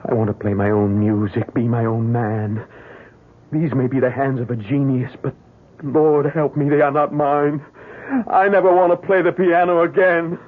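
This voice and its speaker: An actor playing a tormented, haunted young concert pianist in an old radio drama, anxious and melodramatic, stammering when overwrought. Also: male voice, medium pitch, little pitch movement, normal speed, lightly acted. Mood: anguished. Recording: muffled lo-fi old radio broadcast.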